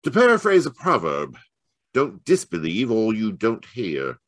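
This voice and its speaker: A man playing a genial, shrewd gentleman detective in a radio mystery, with courtly, wordy, slightly hesitant phrasing. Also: lowish voice, wildly swooping pitch, measured pace, dry recording, heavily acted. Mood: wry, reserved. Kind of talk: old-time radio mystery acting.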